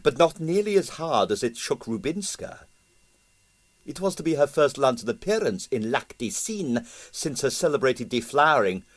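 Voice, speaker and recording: Lively, expressive male storyteller. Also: mid-range voice. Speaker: audiobook narrator. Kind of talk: scripted comic novel narration.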